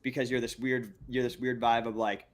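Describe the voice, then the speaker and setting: A man's voice: mid-range voice, level tone, fairly quick. Rambling, stammering podcast host man. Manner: rambling, stammering, laughs often. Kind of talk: casual interview chat.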